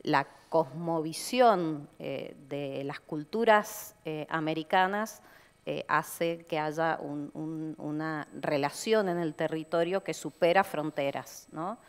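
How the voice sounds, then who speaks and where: A middle-aged woman with an Argentine accent, speaking on a conference panel in a measured way, often pausing with fillers. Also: deep voice, smooth. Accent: Argentine Spanish. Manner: measured, many hesitation fillers. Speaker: panelist, heritage expert. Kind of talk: panel discussion. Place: conference stage, indoors. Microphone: headset mic. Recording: large hall with long reverb.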